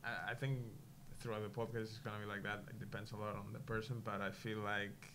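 A man's voice: fairly low pitch, little pitch movement, a little fast, a crowd in the background. A man in his twenties chatting casually and hesitantly, with non-native phrasing. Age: 20s. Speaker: podcast guest. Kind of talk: casual chat. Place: meeting room indoors. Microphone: shared table microphone. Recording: noisy room ambience.

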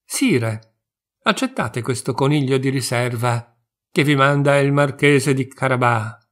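A man's voice: medium pitch, measured pace, a bit bright, lightly acted. A man voicing a clever, sly male talking cat in a fairy tale reading, speaking earnestly and persuasively. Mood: courtly.

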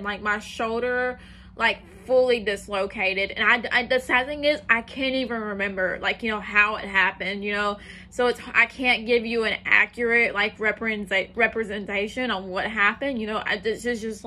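A woman's voice: on the high side, bright, forceful tone. A young woman telling a story in a casual, rambling way, filled with "like" and "you know", sitting on the floor of a small room and talking to her phone. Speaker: vlogger.

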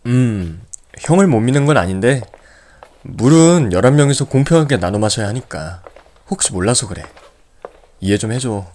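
A man plays a calm, controlling young leader who lays down rules in a firm, measured tone. His voice sits at a mid pitch. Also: natural voice. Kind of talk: amateur audio drama acting.

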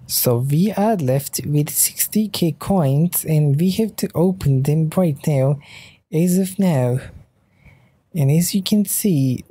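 A man's voice: a little high, takes it slowly, warm tone, breathy edge. A sleepy man, not a native English speaker, casually commentating a mobile game pack opening while trying out a put-on British accent. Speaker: mobile game channel host.

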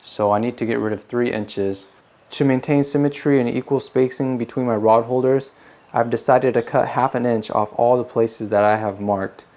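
Mellow-voiced man narrating a DIY tutorial. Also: mid-range voice, steady tone, normal speed. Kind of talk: scripted how-to narration.

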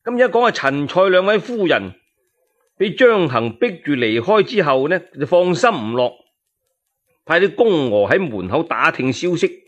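A male storyteller with a Cantonese accent tells a historical tale. His delivery is dramatic, with pitch that swings widely. It is solo radio narration, full of expression. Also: sing-song, moderate pace, highs cut off.

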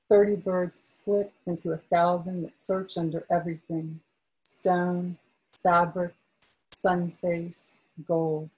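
A woman poet reads aloud in a slow, soft-spoken way, chatting casually between poems. Her voice is dark and mellow, a little husky, and sits slightly low. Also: rough recording. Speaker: poet at a book launch. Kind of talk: poetry reading with casual asides.

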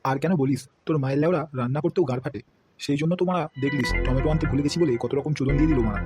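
A man's voice: medium pitch, very fast, natural voice. A man voicing a grumpy, foul-mouthed middle-aged father in a cartoon, grumbling and swearing constantly. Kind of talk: cartoon voice acting. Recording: loud background music.

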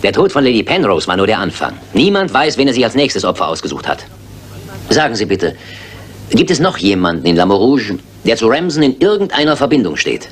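An actor playing a shrewd, composed detective in a German radio crime drama, speaking precisely and with authority. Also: male voice, fairly low pitch, sing-song, a little fast. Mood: grave, certain. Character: shrewd, composed male detective, coolly certain. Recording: older radio play with background noise.

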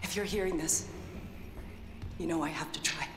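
An actress playing a grief-stricken but resolute scientist in a video game, halting and pleading as she records a warning. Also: deep voice, background noise, close to an everyday voice. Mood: grieving, urgent. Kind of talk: emotional video game acting. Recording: echoey room, music behind.

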